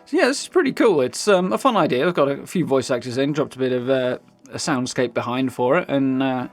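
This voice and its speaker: A man's voice: medium pitch, expressive, a little fast. A man with a British accent hosting a gaming news video in a chatty, upbeat, informal way, part commentary and part reading aloud. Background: background music.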